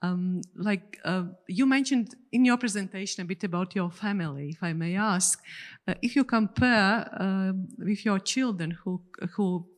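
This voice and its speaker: A woman moderating a panel discussion, asking questions in halting, non-native English. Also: mid-range voice.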